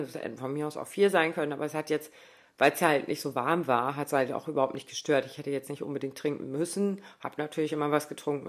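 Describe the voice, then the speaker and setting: A woman in her thirties talking casually in a spoken diary, rambling with lots of fillers and asides. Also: low voice. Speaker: running podcaster.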